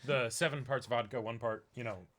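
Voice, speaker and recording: A man's voice: mid-range voice, brisk, fairly bright tone. A man co-hosting a livestream, thinking aloud in rambling, casual banter. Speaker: streamer co-hosting a live reading stream.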